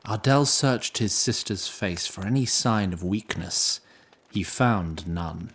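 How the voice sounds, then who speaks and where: An actor as a composed, wry storyteller, giving literary scripted narration for a fantasy audio drama. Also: male voice, on the low side, slow pace, fairly bright tone, full character voice.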